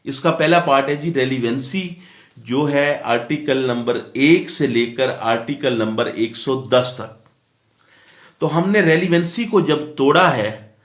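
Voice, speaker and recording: A man with a Pakistani accent giving an explanatory lecture as a law teacher, in a didactic way, repeating points and answering his own questions. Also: on the high side. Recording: echoey room.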